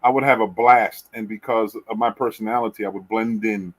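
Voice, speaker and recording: A middle-aged man hosting a podcast, chatting casually and telling lively stories with casual swearing. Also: on the low side, a little fast, low-quality recording. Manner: animated storyteller, swears casually. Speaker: podcast host. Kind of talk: casual chat.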